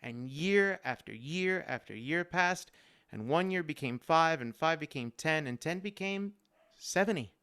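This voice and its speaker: A man with a resonant, clean voice that sits a little high. He speaks as a guest on an online panel. His storytelling is earnest and personal, leaning on repeated phrases for emphasis. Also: lively intonation, a little slow, fairly bright tone, clean tone. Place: remote live-stream video call.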